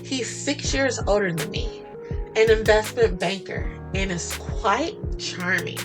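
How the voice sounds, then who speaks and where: A woman with a mid-range voice tells a romance story in the first person. Her delivery is expressive, flirty and sassy, like a storytelling podcast, and music plays softly behind her. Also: medium pitch, slow pace. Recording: music bed under the voice.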